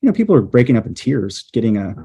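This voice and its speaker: A man, a storm chaser and journalist, telling a story in a casual interview, animated and full of fillers. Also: medium pitch, husky. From USA. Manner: animated, hesitant fillers, quickens at times.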